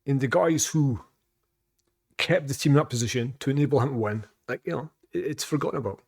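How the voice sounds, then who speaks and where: A man's voice: mid-range voice, brisk. A Scottish man rambling through casual reaction commentary, with lots of fillers, restarts and swearing. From Scotland. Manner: rambling and repetitive, with frequent fillers and profanity. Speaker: reaction vlogger.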